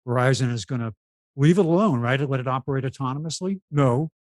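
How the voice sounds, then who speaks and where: A man's voice: mid-range voice, fast talker, a little mellow, dry recording, clean studio sound. A man talking casually as a panelist, explaining things and telling anecdotes. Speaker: talk show panelist.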